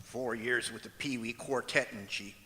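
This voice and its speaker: A man acting as a wry, put-upon comedian husband in an old-time radio comedy, with a dry deadpan delivery. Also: mid-range voice, moderate pace, heavy background noise, close to an everyday voice. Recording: echoey auditorium, music behind.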